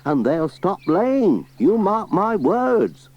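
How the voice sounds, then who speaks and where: A man's voice: sits high, very animated, slow, pitched above the performer's own voice, full character voice. A man voicing a blunt but good-natured farmer in a storybook reading, with emphatic exclamations. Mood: grumbling. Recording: muffled, hissy cassette transfer.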